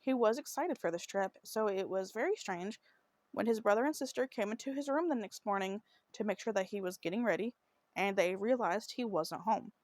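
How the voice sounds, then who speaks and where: A woman with a slightly rough, mid-pitched voice hosts a true crime podcast and works through a case from her notes. She apologizes often and stumbles over her words. The recording is dry and close. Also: fairly quick, a little mellow, no room sound. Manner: apologetic, trips over words, many fillers. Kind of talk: solo case narration from notes.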